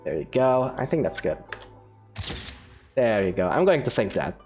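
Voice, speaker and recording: Casting: female let's-player, very deep voice, lively intonation, casual amused chat over background game music. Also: moderate pace. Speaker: gaming video creator. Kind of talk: casual let's-play commentary.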